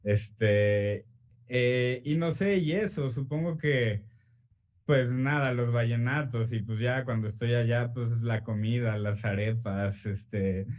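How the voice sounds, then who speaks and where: A young Mexican man, an author answering interview questions in a relaxed, hesitant way full of fillers and restarts. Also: mid-range voice, takes it slowly, highs cut off.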